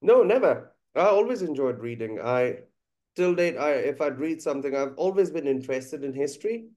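A man's voice: a little high, animated, darkish tone. Man speaking thoughtfully. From India. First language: Bengali. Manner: measured, thoughtful, frequent uh pauses. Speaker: guest musician and teacher. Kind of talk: conversational podcast interview.

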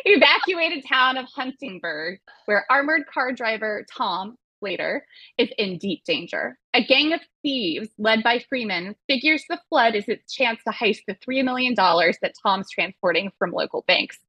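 Bright, higher-pitched woman hosting a podcast. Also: animated, measured pace, husky, dry recording, poor audio. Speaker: podcast host and moderator. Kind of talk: scripted summary reading with casual asides.

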